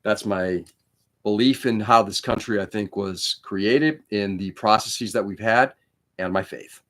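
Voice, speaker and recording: A man's voice: lowish voice, measured pace, a bit bright. Casual livestream host on his laptop, a Greek-native man who swears a lot and talks in loose, opinionated tangents. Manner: rambling, profane, opinionated. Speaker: livestream host building model kits. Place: indoor room.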